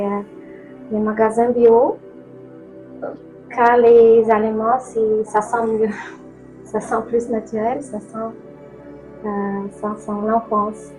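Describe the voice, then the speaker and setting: A woman as a guest in a casual talk, speaking hesitantly with many pauses. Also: on the high side, rough recording. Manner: slow, hesitant, full of pauses. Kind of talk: casual conversation. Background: soft new-age music.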